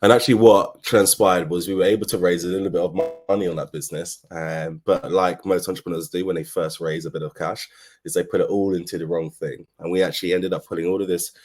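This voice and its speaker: A man's voice: low voice, fairly even intonation, fast, darkish tone, slightly rough. A man with a London English accent giving an informal business presentation in a conversational, explanatory way. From London, England. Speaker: agency co-founder, speaker. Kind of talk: informal business presentation, telling own story.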